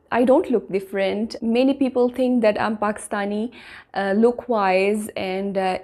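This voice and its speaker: Casting: woman, Arabic speaker, mid-pitched, slow and measured reaction host. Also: a little mellow. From Morocco. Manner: measured, thoughtful, frequent "uh" pauses. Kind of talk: reaction commentary.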